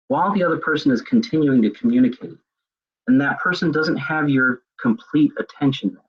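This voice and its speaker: Husky-voiced man giving a webinar lecture. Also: medium pitch, dull audio. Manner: conversational, with frequent fillers and restarts. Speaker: web seminar presenter. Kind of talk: lecture on leadership communication.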